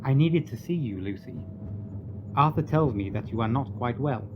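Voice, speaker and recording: A man playing an earnest, formal Victorian asylum doctor in a radio drama, speaking in a measured, grave way. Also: medium pitch, animated, natural voice. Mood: concerned. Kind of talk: radio drama acting and narration. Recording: dull lo-fi audio, faint noise.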